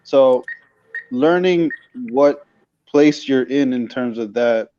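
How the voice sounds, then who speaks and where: A man speaking as a podcast guest in casual discussion, soft-spoken and hesitant, often trailing off. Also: medium pitch, slow, lo-fi sound, a little hiss or hum. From Dominican Republic heritage.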